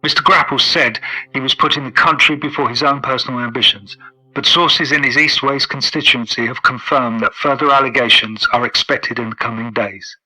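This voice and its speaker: An actor in a radio play playing a formal, measured newsreader heard over a radio. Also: male voice, medium pitch, steady tone, sharp tone, low-quality recording. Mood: formal, neutral. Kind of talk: scripted news reading.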